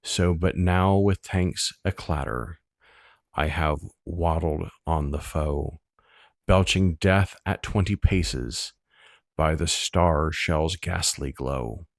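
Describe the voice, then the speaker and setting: A man with a very low voice reads verse slowly and solemnly. His phrasing is measured and deliberate, and the sound is close and dry, with no room around it. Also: vocal fry, no room sound. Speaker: poetry reader. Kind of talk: solemn poetry recitation.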